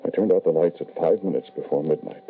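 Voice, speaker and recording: An actor playing a grieving, doting middle-aged father in 1940s radio horror, dramatic and halting, on a tinny old recording. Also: deep voice, a little hiss or hum. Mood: haunted narrating. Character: grieving, desperate, doting father who summons his dead son.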